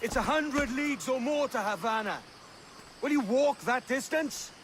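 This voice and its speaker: Scornful period adventurer for a game, very high and animated, over noisy ambience. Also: male voice, moderate pace. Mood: challenging. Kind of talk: video game acting.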